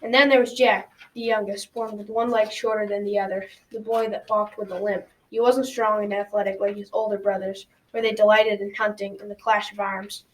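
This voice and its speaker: A woman's voice: medium pitch, level tone, a little fast, few pauses, lightly acted. A teenage girl narrating a folktale as scripted storytelling in an amateur school radio drama. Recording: noisy, distant from the mic.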